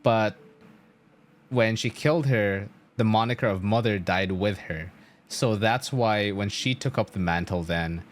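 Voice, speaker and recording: A young man with a fairly low voice chats on a game stream. He explains story in a loose, rambling way, stressing his points and swearing casually. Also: slight background noise.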